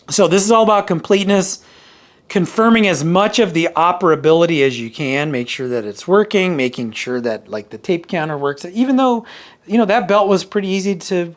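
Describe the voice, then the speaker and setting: A man with a moderately high-pitched voice talks through a casual gear review. He rambles, backs up to rephrase and thinks aloud as he goes. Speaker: video reviewer of vintage hi-fi gear. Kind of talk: casual product review talk.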